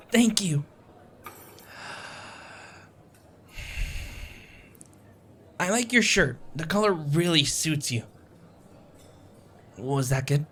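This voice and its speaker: A man's voice: on the high side, a bit bright, slight background noise. A man acting a shy, anxious, sweet young stranger in an audio roleplay, rambling with hesitant stammers and self-deprecating apologies. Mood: sweet, excited. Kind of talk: audio roleplay, intimate one-sided conversation. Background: coffee shop ambience.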